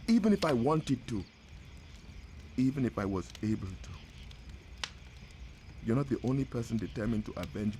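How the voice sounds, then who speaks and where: An actor playing a grateful, haunted village hunting guide in a video game, speaking in a grave, deliberate storytelling manner. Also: male voice, lowish voice, a little mellow, a little hiss or hum, natural voice. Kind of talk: dramatic game cutscene dialogue.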